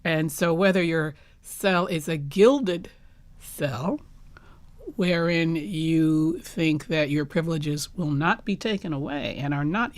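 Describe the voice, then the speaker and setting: A woman speaking as a guest playwright in a reflective interview, articulate and deliberate, stressing her key words. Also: deep voice, dry recording.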